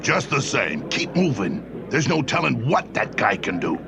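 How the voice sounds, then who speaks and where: An actor playing a gruff, bossy gangster boss who barks in streetwise comic-book melodrama. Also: male voice, medium pitch, sing-song, measured pace, noisy background. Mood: wary. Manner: barking, streetwise. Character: gruff, bossy male crime boss villain. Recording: loud music under the voice.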